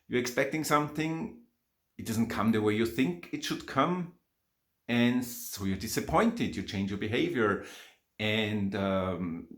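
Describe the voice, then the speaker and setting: A man's voice: fairly low pitch, reverberant. A man with an Austrian accent, German his first language, talking off the cuff in a one-take vlog, reflective and rambling with frequent ums and laughs. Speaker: spiritual vlogger and teacher.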